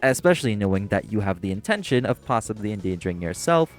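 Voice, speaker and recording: A man playing a prim, exasperated waiter in a scripted audio roleplay, speaking formally with deadpan, condescending patience. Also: on the low side, fast, soft background music. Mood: stern, formal. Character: prim, exasperated, sarcastic young waiter boyfriend. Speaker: voice actor.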